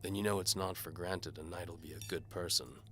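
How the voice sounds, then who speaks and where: A man's voice: low-pitched, steady tone, normal speed, fairly bright tone, a little hiss or hum. A man playing a cynical, hard-bitten mercenary bowman who narrates his own case in a wry, terse noir style. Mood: defiant. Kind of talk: scripted first-person noir fantasy narration.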